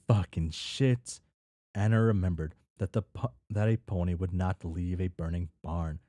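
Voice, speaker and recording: A man's voice: low voice, warm tone, no room sound. A man casually reading a story aloud with off-the-cuff commentary, tripping over and repeating words and swearing freely. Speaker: reader reacting to a fan fiction.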